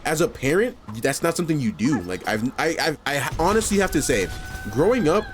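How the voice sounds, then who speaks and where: Animated, casual male commentator over music. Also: a little high, brisk. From New York, USA. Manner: casual, heartfelt, filler-heavy, swearing. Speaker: commentary channel host. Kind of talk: reaction commentary.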